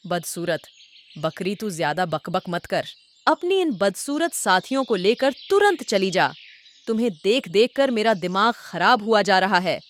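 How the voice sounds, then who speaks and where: Casting: vain bully of a goat played by a woman, loud scolding cartoon delivery, faint birds behind. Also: medium pitch, very animated, unhurried, a little hiss or hum. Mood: scornful. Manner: haughty, scolding.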